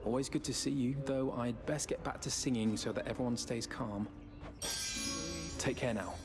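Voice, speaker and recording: A man's voice: mid-range voice, normal speed, music in the background, lightly acted. A man playing a charming, affable sun god musician in a fantasy game, easygoing, friendly and lightly theatrical. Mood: breezy, teasing.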